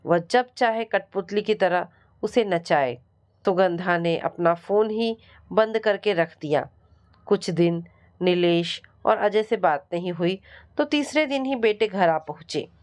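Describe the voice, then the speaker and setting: A woman's voice: medium pitch, measured pace, a little mellow. A woman storyteller giving a scripted, dramatic narration of a family story.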